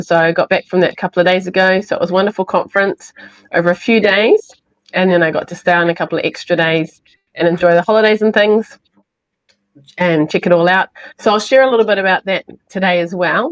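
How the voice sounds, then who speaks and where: Croaky nz lady doing a live craft demo, kinda low voice, chatty, dry dull mic. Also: dry recording. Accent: New Zealand. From New Zealand. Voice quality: slightly hoarse. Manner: chatty, explains step by step with fillers. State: croaky from illness. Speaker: craft demonstrator.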